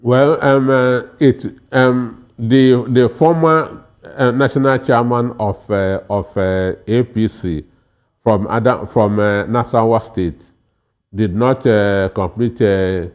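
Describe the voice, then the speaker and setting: A Nigerian man offers political analysis at a very slow pace. He stammers and hesitates heavily between phrases, and the sound is dull and lo-fi. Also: medium pitch, smooth. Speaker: political analyst panel guest. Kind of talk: political commentary.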